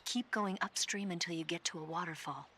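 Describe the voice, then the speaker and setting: A woman's voice: lowish voice, a little fast, bright, forceful tone. An actress playing a confident, teasing young female spy in a video game, with noise audible on the recording. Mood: teasing, decisive.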